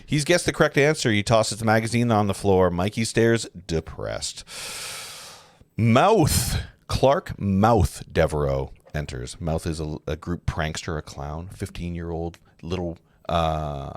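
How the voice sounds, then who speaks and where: A man narrating a film script at a live table read, halting and often correcting himself. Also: low-pitched, lively intonation, no room sound, studio quality. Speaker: narrator reading stage directions.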